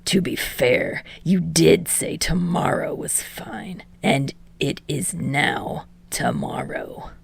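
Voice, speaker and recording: A woman voicing a sardonic male villain in an audiobook, dry and breathy, nearly whispering. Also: deep voice, slow pace, few pauses, no echo, voice pushed down, exaggerated voice. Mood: deadpan.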